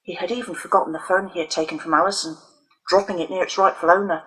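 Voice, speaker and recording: Bright, husky woman narrating a story. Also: on the low side, fairly even intonation, poor audio. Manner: dramatic, deliberate storytelling. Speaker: author reading own novel. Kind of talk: scripted audiobook narration for older children.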